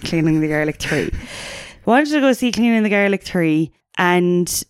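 A woman's voice: lowish voice, animated, normal speed, clean tone, dry recording. A young woman co-hosting a podcast, telling stories in chatty, giggly casual talk. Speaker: podcast co-host. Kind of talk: casual chat.

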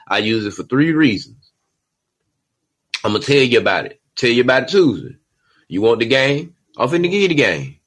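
A man's voice: mid-range voice, sing-song, normal speed, long pauses, a bit bright, breathy edge. A man hosting his own podcast, talking in an emphatic, streetwise, preachy way and tossing in "you know what I'm saying" over and over. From Georgia, USA. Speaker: podcast host. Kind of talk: solo podcast monologue.

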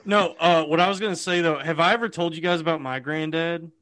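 A young man co-hosting a podcast over a video call, excitable and jokey, quick to laugh. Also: fairly high pitch. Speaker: podcast co-host. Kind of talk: casual comedic chat. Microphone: video call mic.

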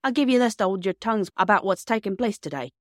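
A woman voicing a grumpy grown railway signalman with a gruff, rustic way of talking, in a storybook reading. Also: medium pitch, animated, quick pace, dry recording, clean studio sound, voice pushed up, close to an everyday voice.

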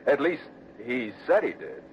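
A man's voice: medium pitch, huge pitch swings, a little slow, slight background noise. An actor playing an eager, chummy young insurance agent in his twenties who turns evasive, in a 1960s radio drama. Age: 20s. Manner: chummy, then evasive and hesitant. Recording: dull old radio broadcast.

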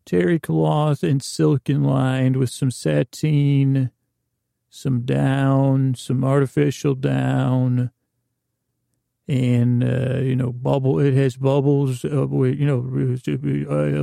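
A man hosting a bedtime podcast in a creaky, lulling tone, meandering and halting with long pauses. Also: medium pitch, level tone, mellow, dry recording, clean studio sound. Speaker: podcast host. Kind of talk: rambling bedtime-story podcast monologue.

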